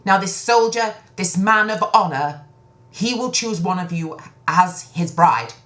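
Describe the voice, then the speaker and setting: A woman's voice: medium pitch, lively intonation, unhurried, a bit bright, pitched above the performer's own voice. A woman voicing a stern, incredulous king and father who speaks in an imperious, emphatic way in a children's story. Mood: stern command.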